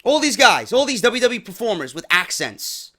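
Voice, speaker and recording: A man hosting a wrestling news show, giving blunt, profane opinions and getting loud when worked up. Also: sits high, sing-song, moderate pace. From New York.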